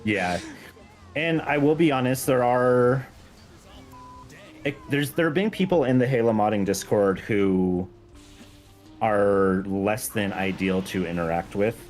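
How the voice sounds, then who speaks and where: A man's voice: lowish voice, measured pace, pauses often, a little mellow, soft background music. A man talking casually and earnestly on a gaming stream as a guest, informal and self-effacing. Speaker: guest, game mod creator.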